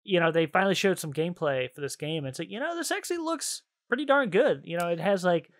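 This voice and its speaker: A man's voice: high voice, animated, fast talker, fairly bright tone, no echo. A man hosting a video game podcast, chatting casually and enthusiastically in informal slang. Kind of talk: casual chat about video games.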